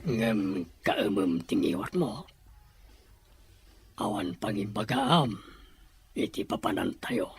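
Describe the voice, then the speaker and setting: A man playing a scheming, secretive, moneyed boss in an Ilocano audio drama, with a husky voice and a Filipino accent. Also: lowish voice, big pitch swings, slow pace, pauses often, soft background music, heavily acted. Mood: secretive, commanding.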